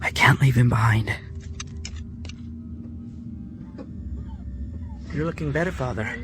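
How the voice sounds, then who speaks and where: Actor as anxious, devoted young prisoner. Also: male voice, mid-range voice, fast talker, noisy background, close to an everyday voice. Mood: worried. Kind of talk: radio drama acting.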